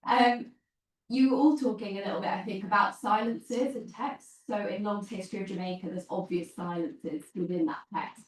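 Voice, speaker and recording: A soft-spoken woman with a quiet voice asking an academic question in a seminar. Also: medium pitch, moderate pace. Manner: soft-spoken, straining to be heard. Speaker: seminar participant. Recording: echoey room, far from mic.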